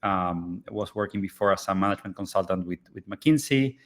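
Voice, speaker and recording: A man's voice: deep voice, a little fast. A man originally from Argentina, speaking English as a startup founder in a live online interview, hesitant and full of fillers and restarts.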